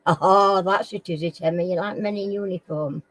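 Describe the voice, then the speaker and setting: A middle-aged woman from Sheffield with a Sheffield accent, hosting a casual live chat with viewers in a slow, homely way and often clearing her throat. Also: lowish voice, a bit bright, dry recording. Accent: Sheffield English. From Sheffield, England. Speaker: live stream host.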